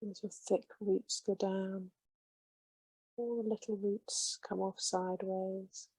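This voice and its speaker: A woman leading a guided meditation with slow, soothing, gentle instructions. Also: medium pitch, level tone, slightly rough, dry recording, poor audio.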